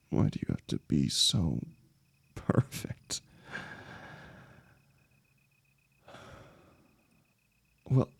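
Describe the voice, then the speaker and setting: A man acting a lovelorn young heartbreaker in an intimate, emotional audio roleplay, speaking haltingly and rawly to a longtime friend. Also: low-pitched, a little mellow, slightly rough, dry recording, exaggerated voice. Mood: tender, vulnerable. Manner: halting, stammering, swearing, emotionally raw.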